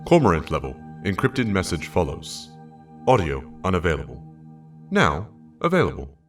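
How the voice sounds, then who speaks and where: A man's voice: sits low, huge pitch swings, moderate pace. A man voicing an impassive message machine that announces transcripts and analysis in terse, official fragments. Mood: impassive, procedural. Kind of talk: scripted sci-fi system announcements. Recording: distant voice over music.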